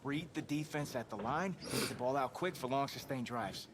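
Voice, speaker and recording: A man's voice: medium pitch, expressive, brisk, close to an everyday voice. An actor playing an earnest young male quarterback with a New Jersey accent, heard through game audio. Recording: game audio with background noise.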